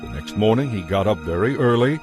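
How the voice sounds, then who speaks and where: A man narrating scripture as a dramatized story, in an expressive, measured way. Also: lowish voice, over music.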